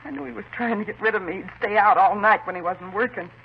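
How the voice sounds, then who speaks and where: An actress playing a distraught, unstable housewife, fretful and fixated, in a 1950s radio drama. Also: female voice, medium pitch, fast, vocal fry, natural voice. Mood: fretful, insistent. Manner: fretful, fixated, repeats herself. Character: distraught, mentally unstable housewife confessing to killing her husband. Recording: muffled, noisy 1950s radio recording.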